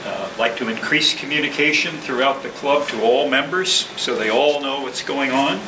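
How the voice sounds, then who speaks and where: A man speaking as a club president, giving a prepared address to a meeting in a halting, measured, formal way. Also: mid-range voice. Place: indoor meeting room with ventilation hum. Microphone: distant room microphone. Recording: distant mic, echoey room, clear background noise.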